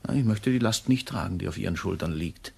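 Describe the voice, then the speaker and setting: An actor in a radio play playing a middle-aged, deferential and quietly knowing long-serving shop employee with a husky voice. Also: male voice, low-pitched, expressive, quick pace, full character voice. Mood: knowing, insinuating.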